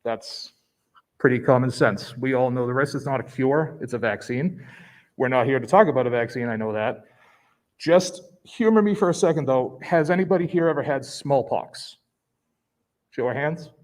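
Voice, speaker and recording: A man speaking as a local resident at public comment, laying out a measured argument and reading a quotation. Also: mid-range voice, darkish tone.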